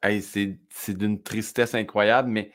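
A man's voice: on the low side, level tone, fairly bright tone. A man hosting a casual interview, chatty and fond of acting out quoted lines. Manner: chatty, quick asides, acts out quoted lines. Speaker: host.

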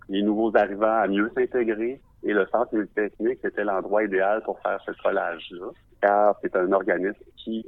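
A man from Quebec with a Québécois accent, a visual artist telling his story for a podcast in a reflective, measured way, often pausing to search for words. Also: on the low side, dry recording. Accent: Québécois French. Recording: narrow phone-like sound, dry.

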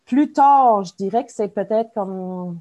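A woman's voice: medium pitch, measured pace, dark tone. Chatty Acadian woman in her forties. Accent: Acadian French. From Acadian Peninsula, New Brunswick. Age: 40s. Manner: chatty, rambling, laughs at herself. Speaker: podcast guest. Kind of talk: casual interview chat.

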